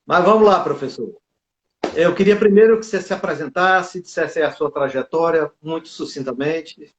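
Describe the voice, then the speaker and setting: Host, man, slightly high voice, chatty with fillers, roomy dull web-call sound. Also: normal speed. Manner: chatty, lots of fillers and asides. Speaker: live stream host. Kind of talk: hosting a live video interview. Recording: echoey, dull lo-fi stream audio.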